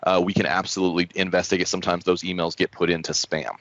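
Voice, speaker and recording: A man's voice: deep voice, flat delivery, fast, fairly bright tone. A man hosting an online developer community call, presenting in an upbeat, encouraging and enthusiastic way. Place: indoors, online video call. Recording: muffled, roomy online call audio.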